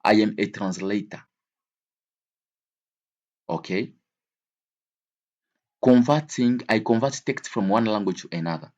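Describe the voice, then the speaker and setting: A man's voice: fairly low pitch, unhurried, pauses often, darkish tone. A man teaching an English vocabulary lesson in a didactic way, repeating key words and switching between languages.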